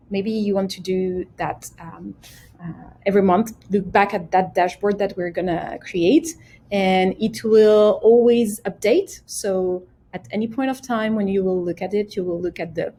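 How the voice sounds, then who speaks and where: A woman presenting a casual software tutorial, explaining each step simply and speaking directly to the viewer. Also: mid-range voice. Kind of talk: casual screen-share tutorial.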